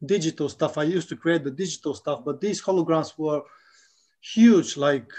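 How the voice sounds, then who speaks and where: A man in his forties from Bulgaria, speaking English, talking haltingly as a guest in a casual interview. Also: on the high side, darkish tone. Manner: halting, with many restarts and fillers. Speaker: guest, 3D artist.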